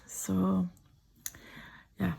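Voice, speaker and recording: A middle-aged woman with a French accent, speaking French as her first language, chats softly, often in a whisper, while showing her sketchbook drawings at home. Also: medium pitch, monotone, extremely slow, creaky, slightly rough. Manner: soft-spoken, often whispering. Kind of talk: casual chat.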